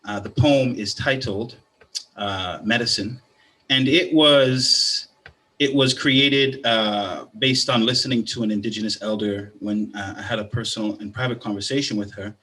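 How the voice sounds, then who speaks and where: A man's voice: lowish voice, normal speed, bright, forceful tone. A man who is a poet and arts educator, born in Canada and raised partly in Guyana, greets the audience and then performs a spoken word poem with a rhythmic, rising delivery.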